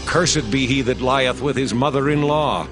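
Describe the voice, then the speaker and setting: An actor playing a Levite priest who sternly proclaims curses before a crowd, in a dramatized scripture reading. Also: male voice, mid-range voice, normal speed, lightly acted. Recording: music bed beneath voice.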